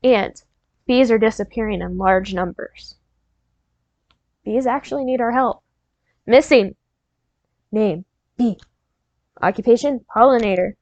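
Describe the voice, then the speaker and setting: High-voiced woman reading aloud to children. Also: darkish tone, no room sound, highs cut off. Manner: encouraging, instructional, pausing to prompt and praise listeners. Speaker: teacher reading a picture book aloud to children. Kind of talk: interactive read-aloud, storytelling with questions to young listeners.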